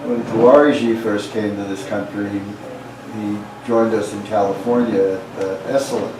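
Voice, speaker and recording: A man's voice: lowish voice, steady tone, moderate pace. A man interviewing, asking short follow-up questions in a casual conversational way. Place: bookstore event room. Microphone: distant microphone. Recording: very echoey room, distant mic, background hiss.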